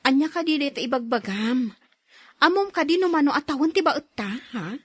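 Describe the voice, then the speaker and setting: A woman's voice: sits high, lively intonation, fairly quick, fairly bright tone, no echo, clean studio sound. A woman playing a modest, frank working woman in her thirties in a radio drama, with an Ilocano accent. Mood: indignant. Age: 30s. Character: modest, hardworking 31-year-old woman, bashful but frank.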